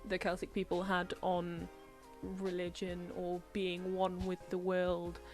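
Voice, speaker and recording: A woman's voice: fairly low pitch, completely flat delivery, soft background music. Chatty Welsh woman, podcast host. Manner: chatty, laughing often, halting questions. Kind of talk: casual chat.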